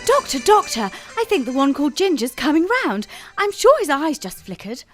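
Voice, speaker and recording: A prim, flustered young nurse, played by a woman in a comic radio play. She gushes. Her voice is high and swoops about. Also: sing-song, normal speed, music in the background.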